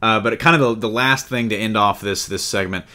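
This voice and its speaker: A man's voice: on the low side, fast, sharp tone. A man hosting a casual fan discussion of comic book films, often repeating and rephrasing as he goes. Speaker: podcast host.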